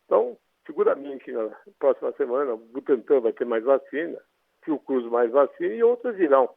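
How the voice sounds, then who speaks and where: A man with a Brazilian Portuguese accent, an infectious disease doctor calmly explaining in a radio interview by phone. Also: mid-range voice, brisk, vocal fry, dry recording. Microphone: telephone. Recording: tinny phone line.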